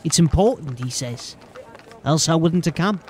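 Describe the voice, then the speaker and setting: An actor playing a cocky, threatening street-gang henchman in a fantasy audio drama, with a rough, slangy, taunting way of talking. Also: on the high side, animated, moderate pace, darkish tone, slight background noise, close to an everyday voice. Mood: grim.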